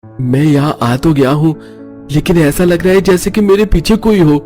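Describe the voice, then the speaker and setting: A man's voice: fairly high pitch, moderate pace, mellow, natural voice. A man voicing a nervous, greedy man in a cartoon horror story, stammering and muttering to himself. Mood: anxious. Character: nervous, greedy man sneaking into a haunted palace. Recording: music behind the voice.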